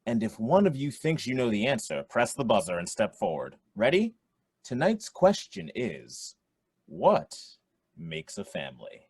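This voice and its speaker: An actor playing a jovial quiz show host in a radio play, with theatrical announcer delivery. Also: male voice, medium pitch, huge pitch swings, unhurried, breathy edge, no echo, exaggerated voice. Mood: showy, upbeat. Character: young man hosting a quiz show, jovial showman. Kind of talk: radio play acting, game show emcee patter.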